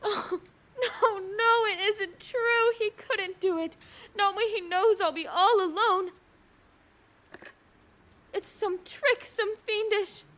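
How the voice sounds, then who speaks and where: A woman's voice: slow pace, long pauses, a bit bright, heavily acted. A woman playing a demanding, self-pitying bedridden wife in a melodramatic suspense radio play. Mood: distraught, tearful. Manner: shrill, imperious, melodramatic.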